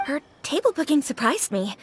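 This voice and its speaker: A woman's voice: high-pitched, normal speed. An actress playing a friendly, chatty young woman who runs a street restaurant in an anime game. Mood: chatty, proud. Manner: animated, chatty, expressive. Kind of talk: English anime game voice acting. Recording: music behind the voice.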